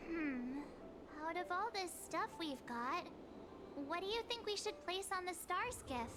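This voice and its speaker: A woman's voice: extremely high pitch, lively intonation, measured pace, background noise. A woman voicing a small, earnest and friendly girl in a video game. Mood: thoughtful. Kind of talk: video game character acting.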